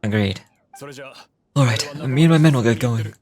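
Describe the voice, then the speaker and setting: An earnest male search leader acted by a man in a video game. He is brisk and practical, with his pitch often lifted above middle. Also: darkish tone, natural voice. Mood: resolute.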